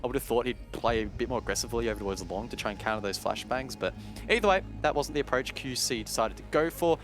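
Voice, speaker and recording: A man's voice: medium pitch, fast talker. Analytical male esports commentator over music. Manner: analytical, explanatory. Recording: music behind the voice.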